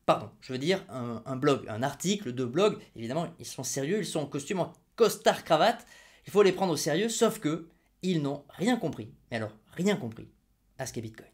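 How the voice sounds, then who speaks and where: A man hosting his own video, explaining money with ironic jabs and rhetorical questions put straight to the viewer. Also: a little high. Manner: ironic and mocking, then patiently didactic. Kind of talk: opinion explainer to camera.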